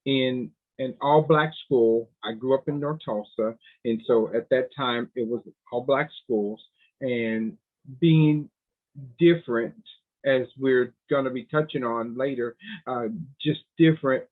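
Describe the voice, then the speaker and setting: An older man from the United States, the guest in an interview on a video call, speaking in a measured way with many pauses. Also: medium pitch, fairly even intonation. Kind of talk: relaxed interview answers. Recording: tinny phone-like line.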